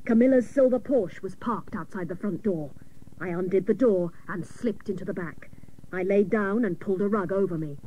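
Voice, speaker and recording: An actress playing a hapless, literal-minded female private detective, narrating a comic radio serial with mock-dramatic seriousness and deadpan jokes. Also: mid-range voice, moderate pace, dull audio, close to an everyday voice. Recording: noisy old cassette of radio broadcast.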